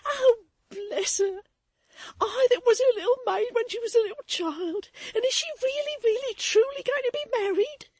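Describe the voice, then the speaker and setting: A woman voicing an excitable young maid who talks volubly between sobs and laughs. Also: moderate pace, no echo. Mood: hysterical. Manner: shrill, sobbing, gushing.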